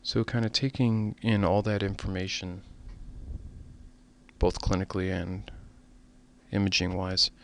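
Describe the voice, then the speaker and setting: A man with a lowish, creaky voice narrates a radiology case. His delivery stays even in tone, with measured, formal phrasing suited to a medical lecture. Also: a little hiss or hum.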